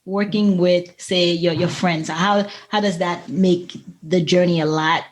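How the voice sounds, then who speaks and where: A woman's voice: on the low side, steady tone, unhurried. A woman hosting a casual podcast interview in an encouraging, affirming way. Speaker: podcast host, coach.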